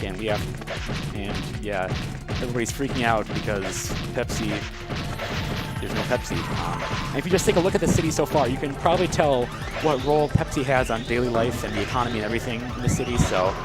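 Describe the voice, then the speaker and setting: A man giving casual live commentary as a speedrunner on a video game, with amused, wry asides. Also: medium pitch. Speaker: speedrunner commentating on own play. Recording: loud game music behind the voice. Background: loud video game music.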